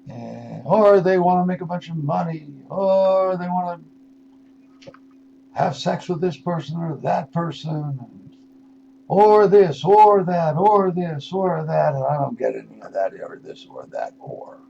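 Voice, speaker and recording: An older man, in his sixties or beyond, hosting a radio show with a rambling spiritual monologue, musing and halting, then leaning into words for emphasis. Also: on the high side, expressive, gravelly, dark tone. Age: 60s or older. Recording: dull lo-fi radio audio with noise.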